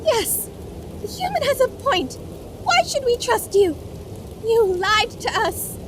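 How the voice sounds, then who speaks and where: Very high, squeaky little creature. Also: female voice, sing-song, slow pace, a little hiss or hum, full character voice. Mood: indignant, accusing. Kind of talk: fantasy audio drama acting.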